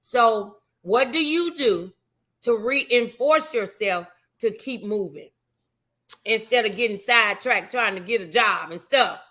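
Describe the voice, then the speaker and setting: A woman's voice: a little high, expressive, unhurried, sharp tone. A woman hosting a motivational talk show, speaking in an encouraging, emphatic, preachy way.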